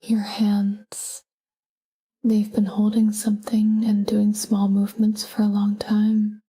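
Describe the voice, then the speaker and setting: A woman guiding a sleep meditation in a soft, breathy whisper, calm and gentle, speaking in short, unhurried phrases. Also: mid-range voice.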